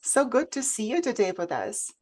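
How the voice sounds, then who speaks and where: A woman's voice: fairly high pitch, sing-song, moderate pace, a little mellow. A woman hosting a conversational interview show, speaking over a remote video call. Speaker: host.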